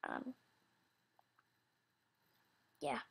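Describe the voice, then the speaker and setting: A young woman with a youthful, somewhat childish voice, chatting casually without a script and rambling as she hesitantly searches for words. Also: extremely slow. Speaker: video creator announcing animation voice auditions. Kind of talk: casual unscripted chat.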